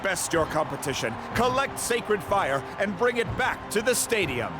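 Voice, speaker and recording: An excitable game tournament announcer, pitched high and swinging widely in tone. He projects showily to a whole arena over loud music. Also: normal speed. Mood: rousing, excited. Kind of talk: game voice acting, ceremonial announcing.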